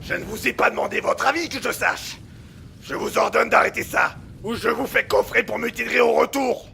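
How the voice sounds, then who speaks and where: Commanding sea captain, very gravelly, piercing and forceful, clipped orders, radio drama, faint rumble. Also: male voice, brisk, exaggerated voice. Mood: furious. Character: stern, commanding ship's captain.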